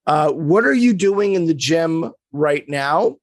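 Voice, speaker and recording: A middle-aged man hosts the conversation, speaking slowly and deliberately. His voice is a touch higher than most men's. The sound is dry and close. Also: animated, no room sound. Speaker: podcast host and player agent. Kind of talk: interview questions.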